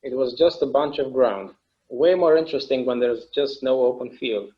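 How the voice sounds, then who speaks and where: A man's voice: medium pitch, moderate pace, natural voice. A man playing an easygoing, imaginative husband in a casual stage play table read, on a slightly dull microphone. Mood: amused, agreeable. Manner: casual, musing, agreeable. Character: easygoing, imaginative husband who enjoys inventing games.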